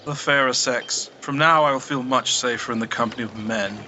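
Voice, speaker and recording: An actor playing an earnest gentleman detective with a formal, old-fashioned, solemn manner in a radio drama. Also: medium pitch, a little fast, dull audio, slight background noise. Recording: loud music bed.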